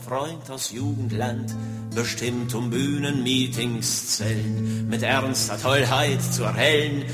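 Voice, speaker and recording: A man performing political poetry between song and recitation, with solemn, deliberate, theatrical phrasing. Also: fairly high pitch. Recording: long reverb, loud guitar accompaniment. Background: plucked guitar music.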